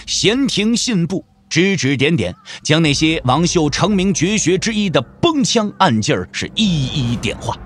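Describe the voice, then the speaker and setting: A man narrating a wuxia audio drama as a scripted storyteller, with theatrical, suspenseful delivery. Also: on the high side. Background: background music.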